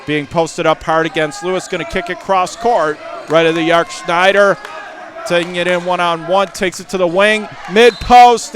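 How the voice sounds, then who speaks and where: High-voiced man calling live basketball. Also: a little hiss or hum. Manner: clipped running phrases, bursts of excitement. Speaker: radio play-by-play announcer. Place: indoor high school basketball gym.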